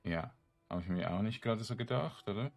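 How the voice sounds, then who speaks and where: A man giving casual, halting game commentary, rambling as he thinks aloud. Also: fairly low pitch, vocal fry, darkish tone. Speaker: let's player. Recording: game music behind the voice. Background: video game music.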